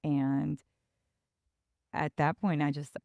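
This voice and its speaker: A podcast host from Ecuador, a woman telling her own story in a reflective, halting way with pauses and restarts. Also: low voice, flat delivery, gravelly, a little mellow, no room sound, clean studio sound. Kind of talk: personal storytelling.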